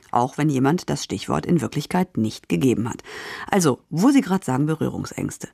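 A documentary narrator reading a scripted portrait in a measured, informative tone. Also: mid-range voice, creaky, darkish tone, breathy edge, dry recording, clean studio sound.

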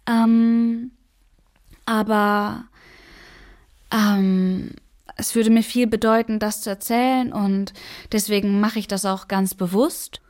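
A woman's voice: medium pitch, fairly even intonation, slow, pauses often, darkish tone. A young woman from Afghanistan in her twenties, telling her own story in a documentary interview, hesitant with many fillers and emotional.

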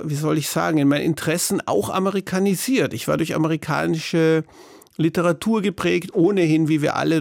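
A man's voice: on the high side, gravelly, dry recording, studio quality. A middle-aged man speaking as an author in an interview, thoughtful and searching for his words, often restarting. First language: German. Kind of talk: reflective interview talk.

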